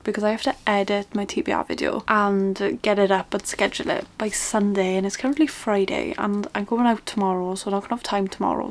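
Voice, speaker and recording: A young woman with a Welsh accent, chatting casually and gushingly about books in a reading vlog. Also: medium pitch. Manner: gushing and rambling, quick excited asides. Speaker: book vlogger.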